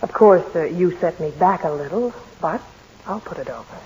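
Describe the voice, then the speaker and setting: A woman's voice: lowish voice, animated, moderate pace, mellow, muffled recording, a little hiss or hum, lightly acted. An actress playing a wry young woman newspaper editor who teases and probes, in 1940s radio drama style. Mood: earnest. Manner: wry, probing, lightly mocking.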